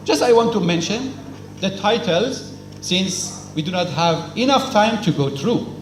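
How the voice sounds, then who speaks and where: A man's voice: high-pitched, animated, unhurried. A man giving a formal speech at a cultural celebration, lecturing emphatically in simple non-native English, asking questions and then answering them himself. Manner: lecturing, asks and answers his own questions, emphatic repetition. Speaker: speaker at a cultural event. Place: hall at a celebration. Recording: echoey hall with faint noise.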